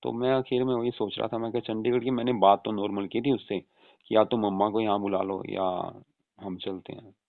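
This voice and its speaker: A man playing an easygoing adult son reassuring his mother in an informal, chatty phone conversation, with a creaky voice on a tinny call recording. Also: fairly low pitch, fairly even intonation, a little fast, no echo. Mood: casual, explaining. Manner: informal, chatty, often seeking agreement with tag questions. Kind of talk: staged casual phone conversation in Hindi.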